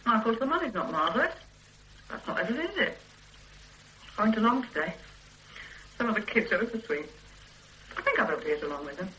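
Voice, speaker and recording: A sharp-tongued housewife in a radio drama, teasing and exasperated. Her voice is bright with wide pitch swings. A faint hum runs beneath. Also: sing-song, fast talker, long pauses, low-quality recording. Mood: hopeful. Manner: sharp, sarcastic. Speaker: detective's wife. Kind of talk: 1980s radio drama acting.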